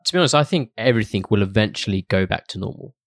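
A man's voice: fairly low pitch, expressive, very fast, dry recording, clean studio sound. A British man chatting on a podcast, thoughtful and hesitant, often restarting his sentences. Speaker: podcast co-host. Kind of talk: casual podcast chat.

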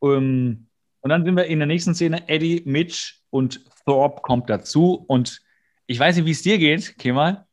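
A man's voice: fairly high pitch, measured pace. A man co-hosting a podcast, retelling a TV episode in casual chat with playful, mock-serious asides. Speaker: podcast co-host.

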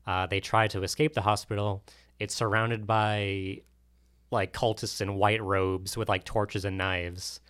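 Deep, clear-voiced man chatting casually. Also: little pitch movement, a little slow, a bit bright. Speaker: podcast co-host. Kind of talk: casual movie discussion.

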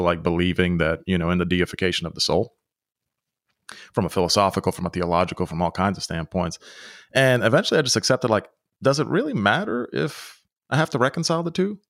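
Deep-voiced relaxed male host. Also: no echo, studio quality. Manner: relaxed and conversational, full of "like". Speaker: podcast host. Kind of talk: casual interview.

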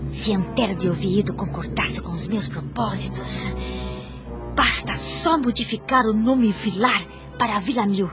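A woman's voice: mid-range voice, measured pace, lightly acted. An actress playing a jealous, scheming young noblewoman in a radio soap, with theatrical, conspiratorial, formal delivery. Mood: secretly plotting. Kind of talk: radio soap opera acting. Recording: music under the voice.

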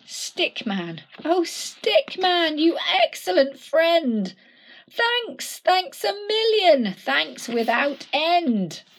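A woman voicing a jolly, hearty old Santa in a storybook, booming and exclamatory. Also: big pitch swings, unhurried, few pauses.

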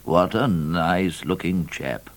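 A man voicing a fretful, gullible colonial governor in a storybook, with a pompous, drawn-out delivery. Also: low voice, no echo. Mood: anxious formality.

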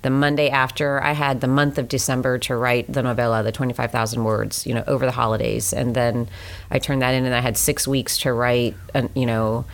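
A woman with a strikingly low voice talks casually about her writing life. She rambles warmly through fillers and false starts, the way people do on an easygoing interview show. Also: level tone, a little fast. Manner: chatty, many fillers and restarts, laughs often. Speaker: romance author guest.

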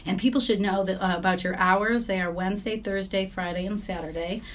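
A woman hosting a podcast, slightly hoarse from laryngitis, reading an upbeat intro and then asking her guest questions. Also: medium pitch, steady tone, fairly quick. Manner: upbeat, enthusiastic. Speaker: podcast host.

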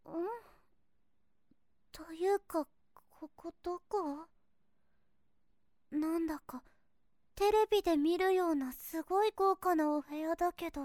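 A woman's voice: very high voice, a little slow, pauses often, a little mellow, no room sound, studio quality. An actress playing a sweet, polite and innocent young girl in an audio drama, speaking earnestly and hesitantly, easily flustered. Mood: groggy, confused. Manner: earnest, deferential, hesitant speech. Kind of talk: Japanese voice drama acting.

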